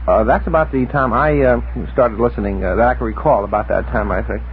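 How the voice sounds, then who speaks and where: A man reminiscing casually as a radio panelist, with a hesitant, halting delivery. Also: mid-range voice. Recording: muffled phone-like vintage radio tape, noisy.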